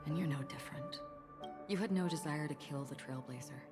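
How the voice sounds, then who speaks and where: An actress playing a calm, enigmatic wandering swordswoman in a video game, speaking in a measured, composed way. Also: deep voice, close to an everyday voice. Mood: calm, guarded. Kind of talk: dramatic video game voice acting. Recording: long reverb, game music behind. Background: music.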